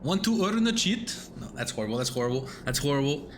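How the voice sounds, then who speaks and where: A man's voice: medium pitch, sing-song, fast, background noise. A man streaming a game, reading the text aloud and chatting in a rambling, self-mocking way, with some swearing.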